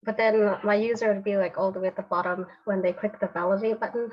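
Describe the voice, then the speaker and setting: A woman with a stuffy, congested voice talks through a software demo one step at a time. Her pitch sits in the middle, and the call audio is a little dull. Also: fast talker. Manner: steady step-by-step explanation. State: congested from a cold. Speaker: developer demonstrating a technique. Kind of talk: screen-share software tutorial.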